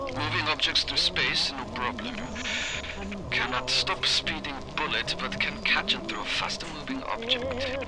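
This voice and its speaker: A man's voice: fairly high pitch, rough recording. An actor voicing an arrogant male scientist, dictating game audio-diary notes in clipped, emphatic broken English.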